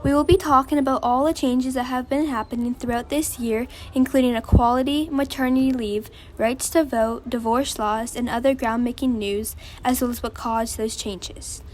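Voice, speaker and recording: A woman's voice: on the high side, fairly even intonation, moderate pace, few pauses, lightly acted. A woman playing an upbeat, polite host of a 1920s women's rights radio show, reading from a script.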